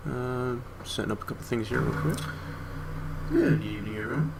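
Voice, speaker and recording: A man streaming his 3D modeling work, talking through each step in an unhurried way with many fillers, hoarse and often clearing his throat. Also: medium pitch, animated, heavy background noise. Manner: unhurried, filler-heavy thinking aloud. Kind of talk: casual screen-share tutorial.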